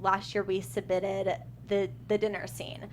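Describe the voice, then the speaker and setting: A woman's voice: medium pitch, flat delivery, measured pace, a little mellow, slight background noise. A woman screenwriter talking about her script in a casual panel discussion, chatty with many fillers and restarts. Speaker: screenwriter guest.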